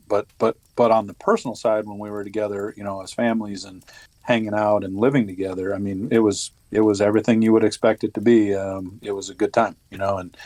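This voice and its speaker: Soft-spoken older man, halting pace. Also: fairly low pitch, no room sound. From United States. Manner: hesitant, many restarts and fillers. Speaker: podcast guest, retired Army officer. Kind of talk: casual interview conversation.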